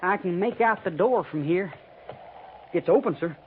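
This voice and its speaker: High-pitched, fretful rustic cavalry trooper. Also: male voice, moderate pace, narrow, tinny audio. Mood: wary. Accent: rustic American. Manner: folksy, complaining, excitable. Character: nervous, grumbling, folksy male frontier cavalry trooper. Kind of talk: 1950s radio western acting. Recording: thin, faintly noisy 1950s radio recording.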